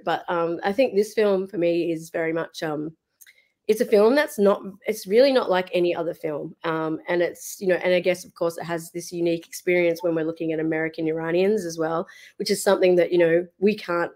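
A woman in her thirties hosting a podcast over a video call, her voice slightly squeaky today, talking hesitantly with lots of fillers and restarts. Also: darkish tone. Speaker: podcast host. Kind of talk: casual chat. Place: room at home. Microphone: video call webcam.

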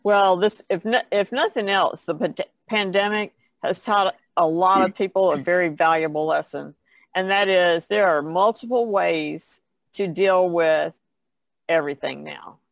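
An older woman chats casually as a podcast panelist. Her voice has plenty of creak and sounds dry, with little room to it. She talks in a plain, folksy way. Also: lowish voice, a little slow, no room sound. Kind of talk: casual chat.

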